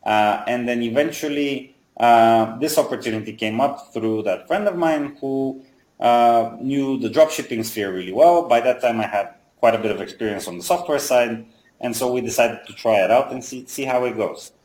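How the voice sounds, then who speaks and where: A man in his thirties who grew up in Bulgaria, speaking at a middling pitch and explaining his ideas step by step. He pauses on fillers as he thinks. His voice bounces around a roomy, echoey space. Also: darkish tone. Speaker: guest, software company founder. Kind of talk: casual interview answers.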